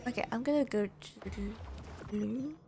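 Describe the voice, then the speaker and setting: A woman's voice: fairly high pitch, normal speed, creaky, mellow, slight background noise. A woman live streamer reacting casually to a game as she plays.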